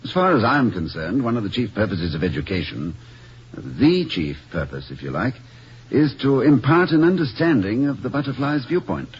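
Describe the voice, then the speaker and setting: An actor in an old-time radio comedy-drama playing a kindly, formal, slightly pompous college president who speaks in wordy, gently wry sentences. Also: male voice, mid-range voice, very animated, moderate pace, natural voice. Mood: grave, troubled. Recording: muffled, noisy old radio recording.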